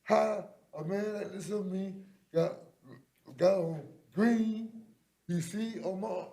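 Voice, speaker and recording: A man's voice: high voice, takes it slowly, vocal fry, warm tone. A man in his seventies, a defendant pleading with a judge at a bond hearing, rambling and repeating himself with many a "ma'am". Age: 70s.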